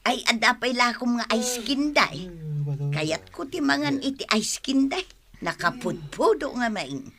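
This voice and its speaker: A woman with a northern Filipino accent playing a hardworking, expressive village wife and vendor in a comedic radio drama. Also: on the high side, measured pace, heavily acted. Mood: friendly. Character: hardworking, nagging village wife selling rice cakes.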